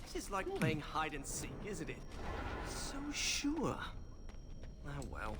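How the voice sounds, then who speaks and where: A man's voice: a little high, wildly swooping pitch, slow pace, background noise, full character voice. An actor playing a bookish young male mage in a video game, grumbling with dry humor about the outdoors. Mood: dry musing.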